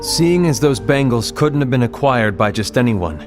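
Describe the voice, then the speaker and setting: A man playing a calm, deliberate leader. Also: medium pitch, close to an everyday voice. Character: calm measured male warrior leader. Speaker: voice actor in a game cutscene. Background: faint game music.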